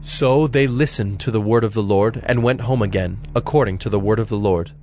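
A man narrating a dramatized Bible in a measured, solemn storytelling style. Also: on the low side, darkish tone, soft background music.

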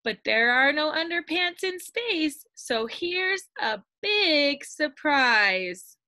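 A middle-aged woman reading a picture book aloud to young children like a teacher, expressive and sing-song, drawing out the ends of lines. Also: sits high, dry recording. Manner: expressive, sing-song storytelling with drawn-out line endings. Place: indoors at a computer, screen-share video.

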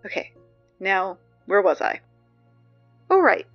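A woman's voice: medium pitch, big pitch swings, slow pace, vocal fry, lo-fi sound, soft background music, exaggerated voice. A woman playing a chatty young school announcer who keeps getting flustered, in an audio drama. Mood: chipper. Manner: chatty, growing flustered and panicky.

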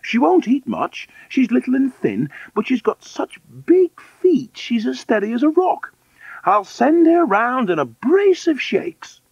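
A man voicing an eager, gushing orphanage matron in a children's storybook, calling out briskly. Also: very high-pitched, wildly swooping pitch, gravelly, a little mellow, husky, no echo, dull audio, voice pushed up, full character voice.

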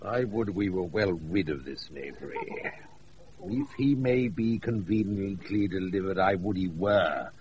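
An actor, a man, playing a scheming gentleman uncle, conspiratorial and weary of his prank, in a radio Shakespeare play. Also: sits low, measured pace. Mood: uneasy scheming. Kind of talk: 1980s British radio Shakespeare acting. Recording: muffled, very noisy off-air radio recording.

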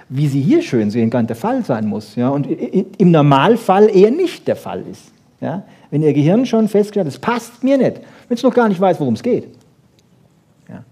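A man giving a lively, colloquial lecture, speaking emphatically with rhetorical questions and frequent checking tags. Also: fairly high pitch, husky. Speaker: lecturer in brain research.

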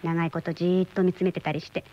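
An actress playing a sharp-eyed, teasing woman who needles her partner in a radio drama. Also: on the low side, flat delivery, quick pace, natural voice. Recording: dull lo-fi old radio recording.

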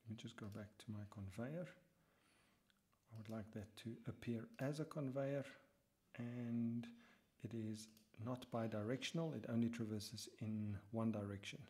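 Man narrating a software tutorial. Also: medium pitch, steady tone, a little slow. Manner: talks through each step while working, some lines muttered to himself. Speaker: lecturer.

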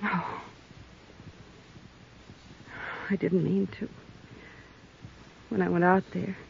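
A woman's voice: fairly low pitch, flat delivery, measured pace, creaky, a little hiss or hum. An actress playing a wounded, bitter woman betrayed by her lover, intense and melodramatic in 1950s radio style. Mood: desperate confession. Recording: muffled old radio broadcast.

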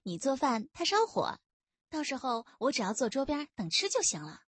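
Young policewoman, played by a female narrator: cheeky, boastful, high and animated, for a historical audiobook. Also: normal speed, slightly rough, no echo, full character voice. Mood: gleeful. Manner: teasing, lively. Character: young female constable, cheeky, playful, boastful and quick-tongued.

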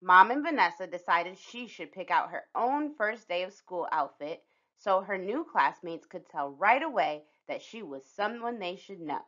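A woman's voice: lowish voice, animated, normal speed, fairly bright tone, lo-fi sound. A woman reading a children's picture book aloud as a scripted storyteller.

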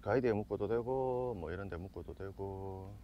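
A man's voice: on the low side, moderate pace. A man chatting casually and explaining fishing gear in an outdoor vlog. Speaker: host of a fishing channel. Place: outdoors on a seaside walkway. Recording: dull outdoor sound with faint background noise.

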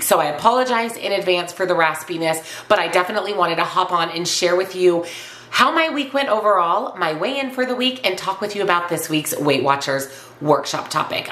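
A woman's voice: on the low side, fairly bright tone, reverberant. A woman in her forties with a stuffy, congested voice from a cold, chatting upbeat to camera in a home office. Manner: chatty and upbeat, repeats herself. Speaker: weight loss vlogger. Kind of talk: casual vlog to camera.

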